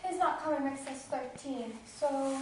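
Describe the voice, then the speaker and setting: A meek, dutiful daughter acted by a woman with a high voice, timid and placating as she reasons in stage comedy style. The recording is very echoey, picked up from far off. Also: unhurried. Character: meek, dutiful grown daughter of a domineering hostess. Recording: very echoey room, distant noisy mic.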